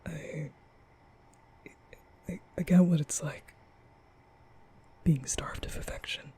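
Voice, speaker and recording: A man playing a nervous, gentle young vampire in an intimate ASMR roleplay, speaking hesitantly in a breathy half-whisper. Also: on the high side, fairly even intonation, full character voice. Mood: earnest, flustered. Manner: hesitant, stammering, slow.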